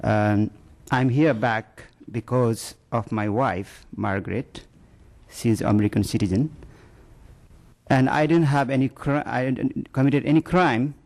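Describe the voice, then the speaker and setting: Man, slow, halting, hesitant testimony, meeting room. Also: medium pitch, a little mellow. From Nepal. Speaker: public commenter at county meeting. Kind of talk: personal testimony. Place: county board meeting room.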